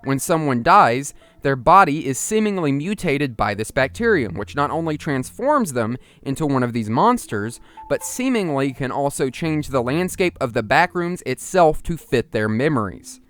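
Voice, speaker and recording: A man's voice: medium pitch, sing-song, normal speed, few pauses, a bit bright, clear, resonant tone. A man narrating an analytical video essay in a musing, explanatory and partly conversational manner. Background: music under the voice.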